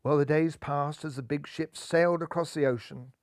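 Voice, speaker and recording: A man's voice: fairly high pitch, moderate pace, dry recording, studio quality. Older man reading a picture book. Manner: lively, does voices for characters. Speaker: storybook reader. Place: indoor room full of cuddly toys.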